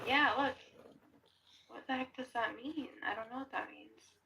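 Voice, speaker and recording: A woman's voice: high-pitched, moderate pace, bright, forceful tone. A woman speaking casually as a paranormal investigator, asking questions aloud during a ghost hunt. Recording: distant mic, noisy ambience.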